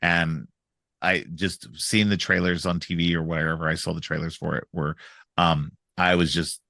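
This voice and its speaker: Low-voiced man, bright forceful tone, congested from a cold, rambling podcast host, dry close sound. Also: level tone, normal speed, vocal fry, no room sound. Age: middle-aged. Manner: rambling, frequent restarts and ums. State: stuffed up from a cold. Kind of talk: casual film discussion.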